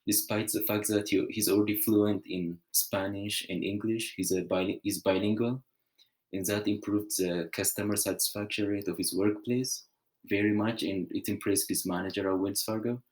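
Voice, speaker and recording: A young man from Ethiopia, giving an earnest, measured prepared presentation with small restarts. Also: fairly low pitch, level tone, darkish tone, poor audio.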